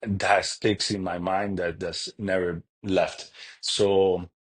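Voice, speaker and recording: A man's voice: low voice, little pitch movement, breathy edge. A man from Cuba, a podcast guest, talking earnestly in a casual interview, often restarting his sentences. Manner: earnest, halting with frequent restarts.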